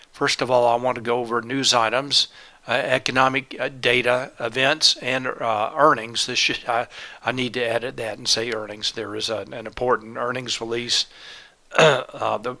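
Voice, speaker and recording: A man's voice: mid-range voice, bright, forceful tone. A man presenting a live trading webinar in a methodical, step-by-step way, his voice a little congested from a cold. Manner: methodical, lists steps and items plainly. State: congested with a cold, sore throat.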